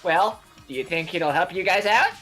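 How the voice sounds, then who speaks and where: A woman's voice: fairly low pitch, normal speed, a bit bright, soft background music. A woman voicing a childlike robot bear cub, earnestly explaining things in a game fan dub.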